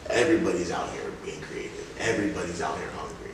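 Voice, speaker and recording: A man's voice: low-pitched, quick pace. A young man from Columbus, Ohio, telling stories in a casual, slangy, reflective way as a music artist being interviewed. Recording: echoey room, distant mic, noisy background.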